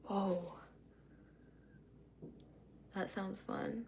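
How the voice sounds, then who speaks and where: Woman streamer, relaxed and rambling, chats with viewers while doing her makeup at home. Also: mid-range voice, drawn-out words, gravelly, a little hiss or hum. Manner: chatty, rambling, many fillers and asides. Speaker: livestreamer answering chat. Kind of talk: casual livestream chat while doing makeup.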